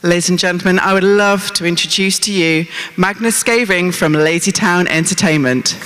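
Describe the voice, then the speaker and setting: A woman's voice: lowish voice, moderate pace, loud music behind the voice. A middle-aged woman hosting from a large auditorium stage, introducing things to an audience on a handheld microphone. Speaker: congress host. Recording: echoey hall PA sound.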